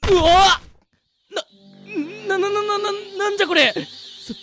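An actor voicing an excitable teenage schoolboy with shameless creepy tastes, over-reacting dramatically in a comedy radio drama. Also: very high voice, slow pace. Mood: startled, excited. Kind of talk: anime-style comedy radio drama acting. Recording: loud music bed under the voice. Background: music.

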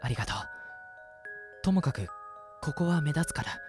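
A man voicing the gentle, cautious ghost of a sixteen-year-old boy in a radio drama, earnest and reassuring. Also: on the high side, expressive, normal speed, over music, lightly acted. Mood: urgent, reassuring. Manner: soft-spoken, earnest, reassuring.

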